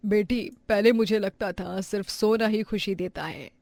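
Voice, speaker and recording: A woman's voice: medium pitch, normal speed, gravelly, darkish tone, no room sound, studio quality, close to an everyday voice. A woman playing a stern, proud older mother-in-law in a dramatized moral story. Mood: softened, remorseful.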